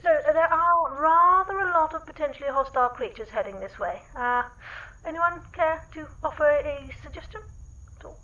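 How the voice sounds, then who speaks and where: Genteel lady, wry and arch, wordy, high crisp bright voice, comedy radio play. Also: moderate pace, highs cut off. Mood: politely wry. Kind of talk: sci-fi comedy audio drama acting.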